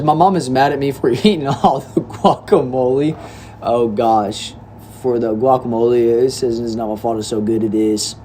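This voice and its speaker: A man's voice: mid-range voice, a little mellow, slight background noise. A nineteen-year-old man from Texas, reading viewer comments aloud on a livestream in an easygoing, chatty way. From Texas, United States. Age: young adult. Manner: laid-back, chatty, addresses viewers as bro and y'all. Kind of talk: casual livestream chat. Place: indoor room.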